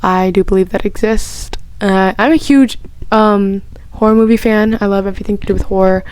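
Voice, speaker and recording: Gushing, excitable young-sounding woman. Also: mid-range voice, fairly quick, clear, resonant tone, no echo. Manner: gushing and excitable, rambling with many restarts; chatty, heavy use of "like". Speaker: vlogger answering personal questions. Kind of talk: casual chat.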